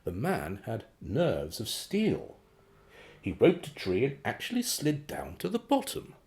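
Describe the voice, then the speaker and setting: A glib male secret agent with a mellow, dark-edged voice that swings wildly up and down. He reports to his boss with polite, slippery evasions, theatrically animated in radio drama style. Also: medium pitch, unhurried, vocal fry, heavily acted. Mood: breezy, confiding.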